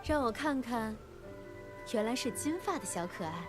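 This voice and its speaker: A woman's voice: on the high side, lively intonation, normal speed, over music, close to an everyday voice. A woman playing a teasing, flirty librarian and mentor in a playful, coaxing anime game style. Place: studio game dialogue with music behind.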